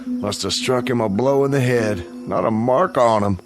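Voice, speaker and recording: An American actor in a radio drama playing an apologetic, folksy Old West wagon driver, speaking plainly and ruefully. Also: male voice, mid-range voice, normal speed, soft background music, natural voice.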